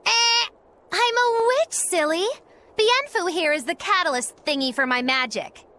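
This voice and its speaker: A woman's voice: very high voice, animated, unhurried, bright, forceful tone, heavily acted. A woman voicing a mischievous, flippant young witch in a video game, teasing and theatrical. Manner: theatrical, teasing, sing-song. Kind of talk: video game cutscene voice acting.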